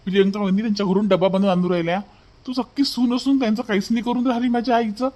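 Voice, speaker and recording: A man's voice: sits high, steady tone, rapid-fire, dark tone, lightly acted. A man voicing a stern, upset young husband who scolds in a cartoon family drama. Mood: accusing.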